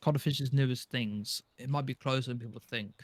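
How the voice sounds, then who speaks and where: A man's voice: mid-range voice, fairly even intonation, moderate pace. A man chatting casually as a podcast panelist. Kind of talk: casual chat.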